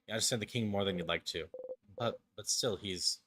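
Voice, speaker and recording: A man's voice: on the low side, fairly quick, noisy background, natural voice. A man playing an earnest, wistful game narrator, reading the text dramatically in the second person. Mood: reflective. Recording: music behind the voice.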